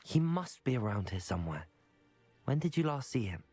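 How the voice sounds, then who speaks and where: A woman playing a calm, caring young British student witch in a video game, polite and measured. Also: very deep voice, husky, exaggerated voice. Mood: calm, sympathetic. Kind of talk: video game character dialogue. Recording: music behind the voice.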